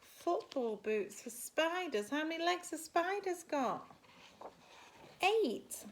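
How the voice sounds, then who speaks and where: A woman, a primary school teacher, reading a picture book expressively to children and pausing to put questions to her listeners. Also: extremely high pitch, big pitch swings, slight background noise. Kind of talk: animated picture-book storytelling with asides.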